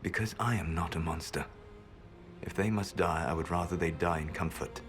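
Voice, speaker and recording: An actor playing a weary, regretful but resolute ancient mage in a video game, speaking in a grave, measured, formal way. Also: male voice, sits low. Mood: solemn, resolute. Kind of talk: dramatic video game acting. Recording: loud orchestral game music behind.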